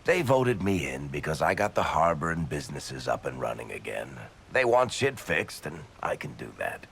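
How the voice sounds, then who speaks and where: A man voicing a wisecracking, big-hearted dwarf rogue in a video game, with a gravelly voice and a casual, irreverent manner. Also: sing-song, normal speed, fairly bright tone, a little hiss or hum, exaggerated voice. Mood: wry, breezy. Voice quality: low gravelly voice. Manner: wry, casual, breezily irreverent.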